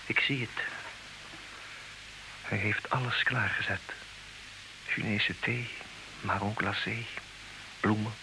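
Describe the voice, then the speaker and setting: A man's voice: fairly low pitch, fairly even intonation, slow, gravelly, long pauses, background noise. A man playing a hesitant, resigned betrayed husband who stammers and repeats words, in in an old radio play. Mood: brooding. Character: hesitant, resigned husband who knows of his wife's infidelity. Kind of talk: old Dutch radio drama acting.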